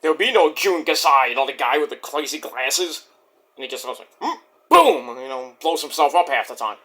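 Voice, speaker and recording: A man's voice: on the high side, very animated, normal speed, fairly bright tone. A middle-aged man with a Brooklyn New York accent, rambling boastfully through a casual wrestling review monologue. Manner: boastful, rambling, restarts and fillers.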